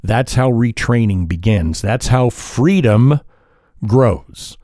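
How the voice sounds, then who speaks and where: A man hosting a solo podcast, talking in a calm, measured, reflective way, sometimes pausing or correcting himself mid-sentence. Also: medium pitch, no room sound, clean studio sound. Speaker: podcast host.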